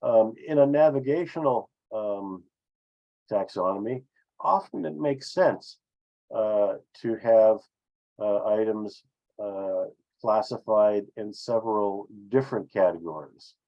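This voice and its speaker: A man presenting an explanatory business webinar with slides, speaking haltingly with frequent filled pauses. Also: fairly low pitch. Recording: dull, lo-fi webinar audio.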